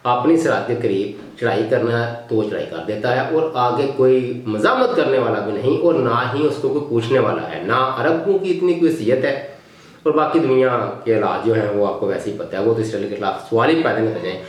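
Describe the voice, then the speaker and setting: The recording rings with strong room echo. A Pakistani man with a mid-pitched voice delivers an off-the-cuff political monologue. He builds his points in short, halting phrases, thinking aloud as he goes. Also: lively intonation. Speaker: news commentary vlogger. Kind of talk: unscripted political commentary monologue. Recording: very echoey, reverberant room.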